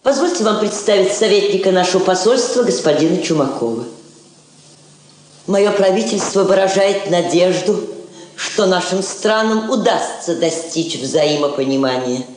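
A woman's voice: on the low side, lively intonation, a little mellow, slight background noise, close to an everyday voice. An actress playing a dignified, self-possessed woman ambassador in a classic radio drama, formal and courteous. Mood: ceremonial. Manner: formal, measured, courteous, poised. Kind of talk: classic Russian stage radio drama acting. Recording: very echoey old theatre hall recording.

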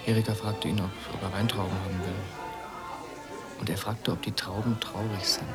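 A man's voice: lowish voice, little pitch movement, moderate pace, close to an everyday voice. Actor as skeptical, ironic man over music. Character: skeptical, needling man, mocking sentimentality. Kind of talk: radio drama dialogue.

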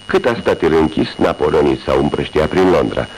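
An actor playing a brilliant, methodical detective who calmly explains his deductions in a radio drama. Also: very deep voice, slight background noise, poor audio. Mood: explaining. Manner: measured, explaining deductions step by step. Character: brilliant methodical male detective, calm and wry. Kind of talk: 1980s radio drama acting.

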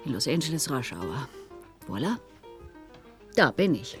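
An elegant, arch client in a radio drama. She is haughty and cutting, with a very animated pitch that rises and falls, and music sits behind her. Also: a little slow, heavily acted. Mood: brisk, businesslike. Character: haughty, sharp-tongued elegant female client of a detective. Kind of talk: German radio play acting.

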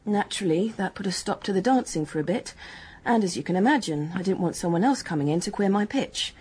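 An actress playing a young, guarded, matter-of-fact English dance hostess in a radio drama, speaking in a brisk, frank, colloquial way. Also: female voice, mid-range voice, expressive, darkish tone, slightly rough. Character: hard-headed working-class hotel dance hostess, guarded, matter-of-fact.

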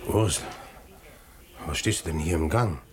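An actor playing a calm, controlling village husband in a radio play, speaking in a measured, quietly commanding way. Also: male voice, rumbling low. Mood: firm, hushed. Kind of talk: intimate radio drama dialogue.

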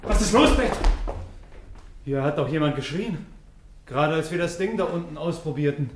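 Male actor as inquiring alarmed man, fairly high voice, echoing room, noisy recording, radio drama. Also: normal speed, natural voice. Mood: alarmed, curious. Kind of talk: German radio crime drama acting. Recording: echoey room, clicks and noise.

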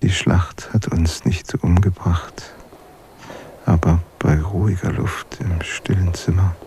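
A man with a deep, dark voice speaks slowly in a breathy half-whisper, as in a literary quotation. Faint noise sits behind him. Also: steady tone.

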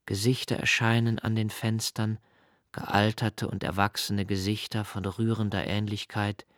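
Man playing a brooding radio-play narrator, very slow, contemplative, searching, halting emphatic phrasing, dry close sound. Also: on the low side, level tone, no room sound, lightly acted. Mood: quiet, contemplative. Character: brooding male narrator reciting a prodigal's homecoming, searching and intense. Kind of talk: literary radio drama monologue.

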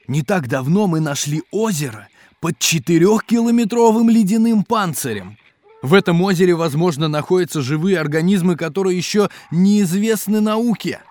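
Male actor as hospitable geologist-cook, higher-pitched man's voice, animated, hearty, educational children's radio play. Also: natural voice. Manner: animated, chuckling, explanatory, hearty. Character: hospitable, jolly male polar geologist doubling as station cook. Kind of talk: children's educational audio drama.

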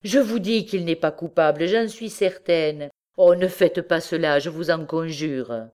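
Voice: mid-range voice, measured pace, darkish tone, smooth, lightly acted. A narrator voicing a distraught mother who pleads vehemently for her accused son in a dramatic storybook reading. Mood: desperate pleading. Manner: vehement, imploring, exclamatory.

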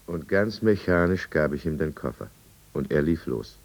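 An actor playing a brooding drunkard who narrates his own downfall deliberately and confessionally in a 1950s radio drama. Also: a bass voice, lively intonation, creaky, heavily acted. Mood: tense, resigned. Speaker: first-person narrator.

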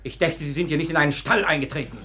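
A fast, indignant young gentleman. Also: on the high side. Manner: clipped, affronted protests. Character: indignant, proud young man of the house, flustered. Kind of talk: German radio play acting.

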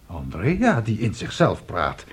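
Husky, expressive middle-aged father. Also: fairly low pitch, slow pace, exaggerated voice. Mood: mild concern. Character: paternal middle-aged head of a household. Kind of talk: radio play acting.

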